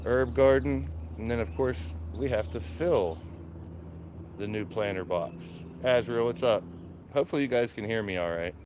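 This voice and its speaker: A man with a raspy morning voice hosting a casual live stream from his backyard garden, chatting and greeting viewers by name. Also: mid-range voice, normal speed, background noise. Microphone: small phone-mounted microphone.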